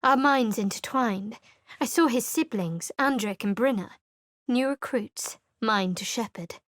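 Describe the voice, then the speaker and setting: A woman narrating a fantasy video game in measured, evocative storytelling. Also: on the high side, no echo, studio quality, lightly acted. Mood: steady. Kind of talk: scripted second-person game narration. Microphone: close studio mic.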